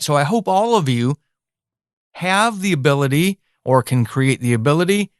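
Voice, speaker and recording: A man's voice: fairly high pitch, a bit bright, clear, resonant tone, dry recording, clean studio sound. An American man hosting a podcast in a candid, informal conversational way, speaking directly to listeners. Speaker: podcast host and writer. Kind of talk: conversational podcast monologue about writing. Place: indoor office, dry close recording.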